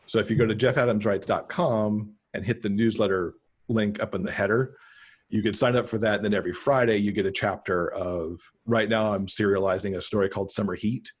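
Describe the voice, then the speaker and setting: A man's voice: lowish voice, fairly even intonation, brisk, breathy edge. A middle-aged man chatting casually about his work as a guest author on a video call. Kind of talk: casual video interview chat. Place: indoor room, video call.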